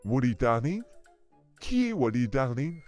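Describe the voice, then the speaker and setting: A man's voice: mid-range voice, sing-song, measured pace, a little mellow, soft background music. A man playing a proud, easily amazed middle-aged father in a French comic dub. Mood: astonished.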